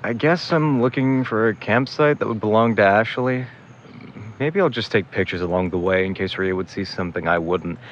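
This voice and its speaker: A man's voice: lowish voice, normal speed, dull audio, a little hiss or hum, natural voice. A man playing an irritable, sarcastic young older brother in a naturalistic audio drama. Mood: grumbling, conflicted. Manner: sarcastic, grumbling.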